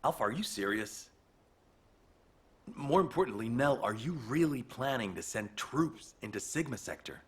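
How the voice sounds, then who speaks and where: An actor playing a skeptical, practical military engineer in a video game, speaking in a matter-of-fact, argumentative way. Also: male voice, mid-range voice, big pitch swings, moderate pace, husky. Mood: incredulous.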